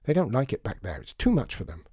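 A man's voice: medium pitch, very animated, quick pace, mellow, slightly rough, no echo, studio quality. Man acting an angry, grumbling shopkeeper's wife. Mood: resentful. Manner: grumbling, complaining. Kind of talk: audiobook storytelling.

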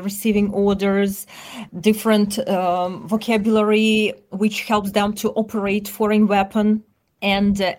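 Ukrainian-accented woman interviewee, mid pitch, careful hesitant English. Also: darkish tone. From eastern Ukraine. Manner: careful, hesitant, many "uh" pauses. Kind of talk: interview answers.

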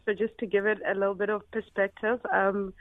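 A woman from South Africa in her forties, an entrepreneur speaking in a measured, thoughtful way with frequent pauses. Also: mid-range voice, little pitch movement, no room sound. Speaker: entrepreneur and company founder. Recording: tinny phone line.

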